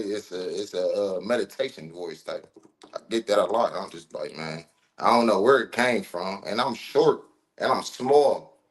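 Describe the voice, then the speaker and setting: A man's voice: fairly low pitch, poor audio. Laid-back, slangy man in his thirties. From Ohio, USA. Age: 30s. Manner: laid-back, slangy, rambling with many fillers. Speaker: rapper guest. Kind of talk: casual podcast chat.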